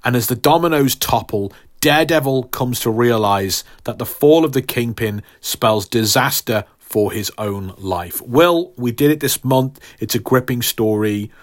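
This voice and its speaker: Male podcast host, mid-pitched, conversational promo read delivered with emphatic, tongue-in-cheek grandeur. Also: expressive, a little slow, hardly any pauses. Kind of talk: casual scripted promo talk to listeners.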